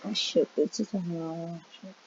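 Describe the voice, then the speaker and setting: A woman's voice: on the low side, fairly even intonation, measured pace, vocal fry, very noisy. A woman streaming a game and chatting casually, recorded with dull lo-fi sound and game music behind her. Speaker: gameplay streamer.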